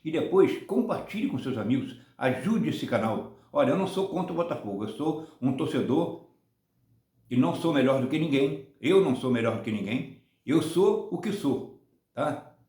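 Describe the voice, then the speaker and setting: A man in his seventies from Brazil, rambling through casual football commentary on his own channel, often ending points with a quick "tá?". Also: medium pitch, lively intonation, warm tone, roomy sound. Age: 70s. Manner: rambling, many restarts and tag "tá?".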